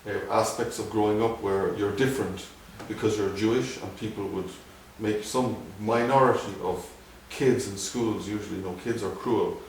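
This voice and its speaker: A middle-aged man with a Dublin Irish accent, answering interview questions in a halting way, with many restarts. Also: lowish voice, a little hiss or hum. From Ireland. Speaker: guest, doctor. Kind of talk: conversational interview. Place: museum room. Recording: echoey room, from a distance.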